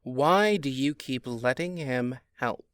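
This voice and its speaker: A woman playing a wry, unimpressed fairy in a modern audio drama, blunt and deadpan with a dry delivery. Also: a bass voice, takes it slowly, dry recording, full character voice.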